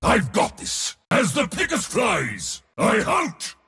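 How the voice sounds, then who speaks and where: An actor voicing a proud, pompous god of war for a video game, with a theatrical, commanding military delivery. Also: male voice, high voice, huge pitch swings, takes it slowly, sharp tone, long reverb tail, exaggerated voice. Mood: boastful. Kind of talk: video game hero voice lines.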